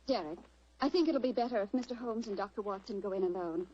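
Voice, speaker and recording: An actress playing an anxious, genteel wife, earnest and worried, in old radio drama style with muffled sound. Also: female voice, mid-range voice, fast talker, warm tone, a little hiss or hum, natural voice. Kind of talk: 1940s radio drama acting. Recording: dull old radio recording.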